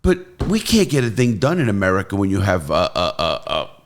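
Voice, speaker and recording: A man's voice: low voice, huge pitch swings, fairly quick. A man hosting an interview, casual and rambling, often jumping in. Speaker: podcast host. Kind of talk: interview conversation.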